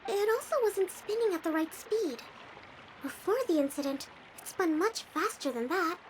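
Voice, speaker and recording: Very high-voiced gentle young leader. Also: female voice, expressive, slight background noise, low-quality recording. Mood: thoughtful. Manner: measured, encouraging. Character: gentle, level-headed young woman leader. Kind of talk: video game voice acting. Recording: clear game ambience behind.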